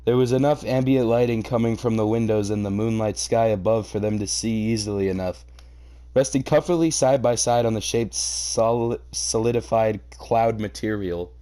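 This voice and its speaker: A man's voice: on the low side, normal speed, dark tone. A man doing a comedic reaction reading, narrating a story aloud and breaking off into mocking, sweary, theatrically exasperated commentary. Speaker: host reading a fan fiction aloud and reacting.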